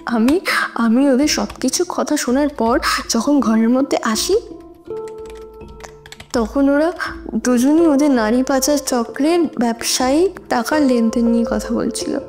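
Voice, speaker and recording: Distraught young female student, very high voice, slow halting courtroom confession over music. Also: darkish tone. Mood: shaken, grave. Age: young adult. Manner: halting, repeating words, emotional. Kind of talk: dramatic confession in audio drama. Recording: music under the voice.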